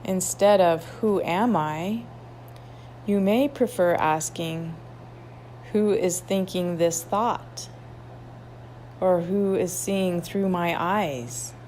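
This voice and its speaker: A woman guiding a meditation, reading instructions in an unhurried way with long pauses. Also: on the low side, a little mellow. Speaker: meditation guide at a wellness retreat. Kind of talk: guided meditation, read aloud softly. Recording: clearly noisy ambient background.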